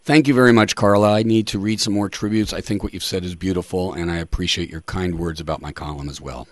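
A middle-aged man hosting talk radio in a measured, deliberate way, sometimes reading tributes aloud. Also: sits low, fairly even intonation, no room sound. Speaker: radio show host.

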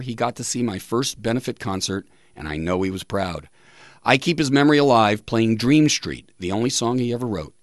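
A man hosting a radio talk show, reading aloud with pauses for emphasis in a studio, close to the microphone. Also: medium pitch, no echo. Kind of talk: reading listener tributes and quotes on a talk show.

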